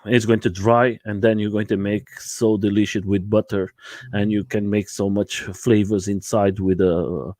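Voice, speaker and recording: A man from Portugal with a Portuguese accent, hosting a casual chat and speaking haltingly with many fillers. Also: lowish voice, level tone, darkish tone, dry recording. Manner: hesitant, many uh fillers, laughs easily. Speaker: livestream host.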